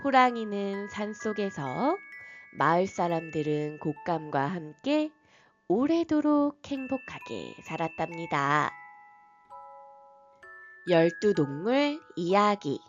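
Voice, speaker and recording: A woman narrating a soft bedtime folktale gently, in a lilting storyteller's way. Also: lowish voice. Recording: slightly dull, soft music behind.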